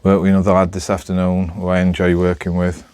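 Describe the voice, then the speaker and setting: Northern english bloke w a low voice, rambly vlog chat, bit halting, really dry close sound. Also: male voice, completely flat delivery, a little mellow, clear, resonant tone, no room sound. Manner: hesitant, halting, self-deprecating. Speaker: amateur vlogger. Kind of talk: casual rambling chat to camera.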